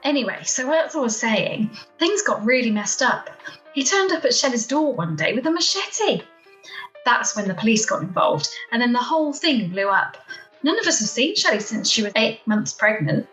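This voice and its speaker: A woman's voice: a little high, big pitch swings, moderate pace, a bit bright, soft background music. A woman playing a chatty small-town gossip who tells scandal dramatically in an amateur radio play. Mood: gossipy, intrigued. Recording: roomy, echoey home video-call recording.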